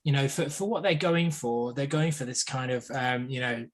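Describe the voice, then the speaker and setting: A man in his forties with a slightly husky voice and a middling pitch. He talks casually as one of a podcast panel, pressing his opinions by repeating phrases. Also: normal speed. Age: 40s. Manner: emphatic, repeats phrases for effect. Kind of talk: casual film discussion.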